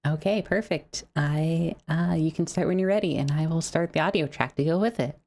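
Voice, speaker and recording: A woman's voice: low voice, normal speed, vocal fry, a little mellow. A woman casually hosting a livestreamed poetry event. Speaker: host of poetry slam stream.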